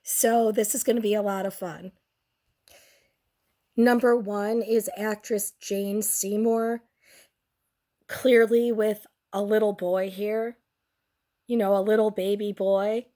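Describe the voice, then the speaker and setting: Sick young woman barely talking, voice mostly gone, slow drawn-out snarky commentary, swears a lot. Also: medium pitch, flat delivery, mellow. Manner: crude, sardonic, slow and drawn out. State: sick, nearly lost voice. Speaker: host. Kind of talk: casual commentary on photos.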